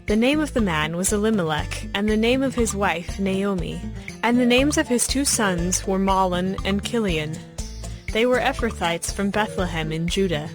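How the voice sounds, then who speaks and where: Measured woman narrator over music. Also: medium pitch. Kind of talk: scripted Bible narration. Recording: background music under the voice.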